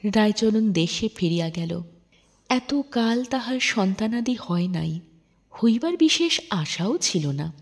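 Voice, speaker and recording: A woman narrating a literary story in an expressive, measured, dramatic audiobook style. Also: on the high side, breathy edge.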